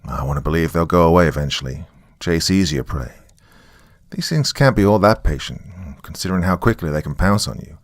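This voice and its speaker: A man's voice: sits low, expressive, fairly quick, dry recording, studio quality. A man voicing a weary, sardonic small-town man, rambling wryly through a taped confession in a horror story. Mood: uneasy, resigned. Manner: rambling, wry, colloquial. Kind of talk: dramatic monologue as a taped confession.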